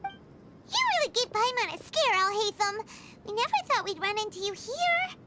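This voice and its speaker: A woman playing a small, childlike, chatty fairy companion in a video game, with perky exclamations and a squeaky voice. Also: very animated, normal speed, background noise. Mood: startled, suspicious. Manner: perky, exclamatory, refers to self in third person. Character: small childlike fairy companion girl, excitable, chatty, third-person speaker. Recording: game music behind the voice.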